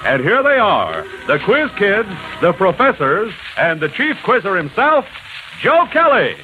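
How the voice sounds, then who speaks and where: An American man announces a vintage radio show over loud music in a dull, muffled broadcast recording. His scripted delivery swings dramatically up and down in pitch. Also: wildly swooping pitch, measured pace.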